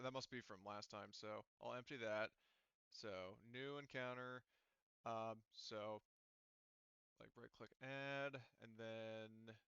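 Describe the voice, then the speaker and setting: A man's voice: mid-range voice, gravelly, pushed and bright, clean tone, dry recording, lo-fi sound. A man working as game master in casual tabletop session talk, thinking aloud and correcting himself as he goes.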